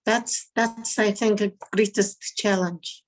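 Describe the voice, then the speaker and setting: Woman, English learned later in life; slow, short halting replies. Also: medium pitch, steady tone. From Mongolia. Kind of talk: casual video-call conversation.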